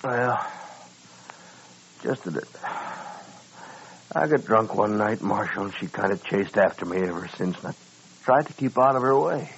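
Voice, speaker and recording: An American actor playing a badly wounded townsman in a 1950s radio western, speaking weakly and haltingly. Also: on the low side, lively intonation, creaky. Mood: sheepish, resigned. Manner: halting, hesitant. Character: wounded frontier townsman, weak, sheepish and confiding. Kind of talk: 1950s radio drama acting. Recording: dull, slightly noisy old radio recording.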